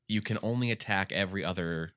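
A man with a congested voice, chatting casually about games as a podcast co-host and rambling through animated retellings. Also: deep voice, fast talker, clear, resonant tone, no room sound, studio quality. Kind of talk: casual gaming chat.

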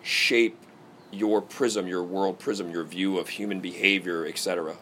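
Male interviewer, lowish voice, halting reworded questions, faint background noise. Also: fairly even intonation, fairly bright tone.

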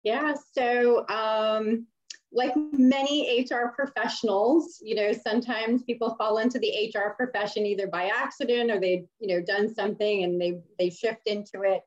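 A woman's voice: on the high side, moderate pace. Chatty woman guest telling a story, lots of "um" and "you know", lo-fi dull sound. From Miami. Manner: chatty, animated, many fillers and laughs. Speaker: HR leader, guest. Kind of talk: casual interview storytelling. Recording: slightly dull lo-fi audio.

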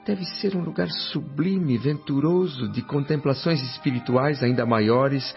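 A man's voice: mid-range voice, a little slow, creaky. An earnest son newly arrived in the spirit world. His delivery is formal and dramatic, full of feeling, in a mid-range voice. It is radio drama with dull sound and a musical backing. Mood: reverent, curious. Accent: Brazilian. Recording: dull lo-fi audio under music.

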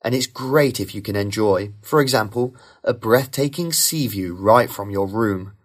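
A man reading rehearsed model answers for a speaking test in a smooth, even way. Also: lowish voice, normal speed. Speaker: sample test candidate.

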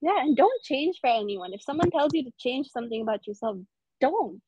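Higher-pitched American woman, muffled dry sound. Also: animated, a little fast, darkish tone, breathy edge, no echo. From United States. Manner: chatty, laughs easily, lots of fillers. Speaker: podcast guest. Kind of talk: casual interview chat.

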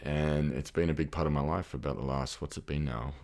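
A man's voice: extremely low pitch, fairly even intonation, a little fast, a little mellow. A middle-aged Australian man with an Australian accent, a podcast guest speaking reflectively, with many ums and restarts. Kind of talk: reflective conversational talk.

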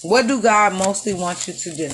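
A woman hosting a casual podcast from an apartment, chatty and quick to laugh, talking to her listeners as "y'all.". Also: medium pitch, fairly bright tone, faint music behind. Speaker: podcast host. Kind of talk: casual chat.

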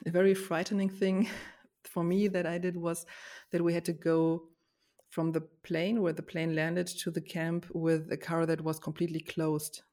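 A woman talking casually about herself in a reflective, slightly halting way, as in a conversation between co-hosts. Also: on the low side, little pitch movement, dark tone. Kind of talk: casual personal chat.

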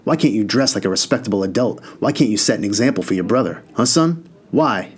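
A strict, disciplined father lectures his son, read by a man. His voice sits low and stern and keeps a scolding edge throughout. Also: animated, fast talker, breathy edge.

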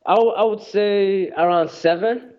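A man's voice: high voice, a little slow, darkish tone. A young man, a guest chatting casually and rambling with lots of fillers. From England. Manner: rambling, hesitant, many fillers and restarts. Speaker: guest, wrestler. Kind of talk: casual interview chat. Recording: dull lo-fi sound.

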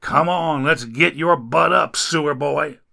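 A man voicing a griping, foul-mouthed male rescuer who grumbles loudly in folksy slang. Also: on the high side, measured pace, creaky, full character voice.

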